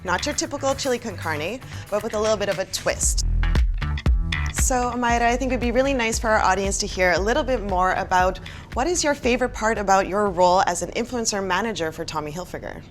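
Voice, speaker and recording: A woman's voice: medium pitch, fairly quick, soft background music. Upbeat woman hosting a kitchen show. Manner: upbeat, chatty, asks guests questions. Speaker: host of cooking workshop. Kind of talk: casual hosted cooking show.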